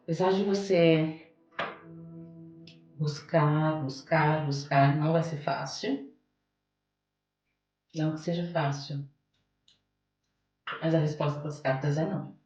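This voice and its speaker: Woman, Brazilian accent, lowish voice, explanatory card-reader delivery, emphatic repetition, echoey room, music behind. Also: fairly even intonation, takes it slowly, long pauses, a little mellow, lo-fi sound. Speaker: podcast guest, oracle card reader and holistic therapist. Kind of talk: card reading and interview answers.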